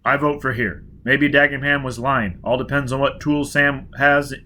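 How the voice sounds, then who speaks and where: A man voicing a rough, angry spaceman who speaks loudly and impatiently. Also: mid-range voice, fairly even intonation, moderate pace, slight background noise, natural voice. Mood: urgent. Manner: loud, impatient, forceful.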